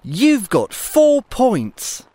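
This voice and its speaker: A woman doing a very slow, welcoming boy. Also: a little high, wildly swooping pitch, warm tone, no room sound, full character voice. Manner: clear, very slow, careful delivery. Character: welcoming young boy at camp. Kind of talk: scripted textbook dialogue.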